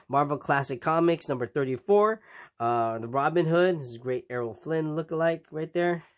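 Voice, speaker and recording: Stuffed-up nasal guy rambling about his haul, casual vlog, lots of ums and restarts. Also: a little high. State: nasal from a recent cold. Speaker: comic collecting vlogger. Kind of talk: casual show-and-tell vlog.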